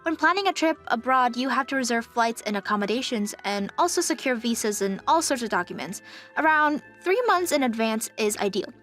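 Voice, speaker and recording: A helpful young woman travel advisor from a manga dub, acted by a woman, talks in a fairly high, courteous customer-service voice. She is eager and polite, over soft background music. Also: animated, a little fast. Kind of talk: English manga dub acting.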